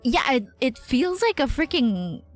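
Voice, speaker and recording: A woman game streamer chatting casually live, thinking out loud, exclaiming and swearing freely. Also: high voice, sing-song, a little slow. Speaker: streamer reacting to a role-playing game. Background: game music behind the voice.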